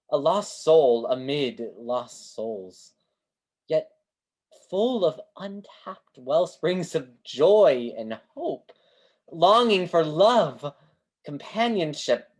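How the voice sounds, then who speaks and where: A woman's voice: deep voice, lively intonation, extremely slow, exaggerated voice. A woman playing a grandiose, kindly street fortune-teller with florid delivery in a staged play reading over a video call. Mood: tender, solemn. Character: Great Banzini, grandiose theatrical male street fortune-teller, kindly mystic.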